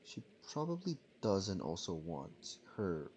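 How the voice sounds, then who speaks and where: A man streaming a video game with casual commentary, musing aloud in a halting way over game music. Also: low voice, big pitch swings, darkish tone, a little hiss or hum.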